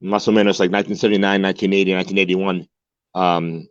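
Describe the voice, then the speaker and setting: A man's voice: sits low, flat delivery, fast talker, no echo, highs cut off. A man in his forties, a guest in a candid interview, talking earnestly and repeating words as he searches for them. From United States. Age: 40s. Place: home in Mexico.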